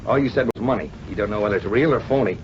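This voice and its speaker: A man's voice: lowish voice, racing, natural voice. Man acting a tough, curt gangster, muffled old radio. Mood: stern, accusing. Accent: American. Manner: slangy, curt, impatient. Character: tough scheming male crook, bossy and suspicious. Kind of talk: 1930s-40s radio drama acting. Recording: muffled, noisy vintage radio recording.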